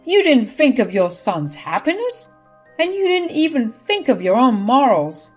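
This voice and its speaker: A woman's voice: high voice, big pitch swings, a little slow, a little mellow, faint music behind, voice pushed up, full character voice. A woman voicing a stern, angry middle-aged husband who scolds his wife.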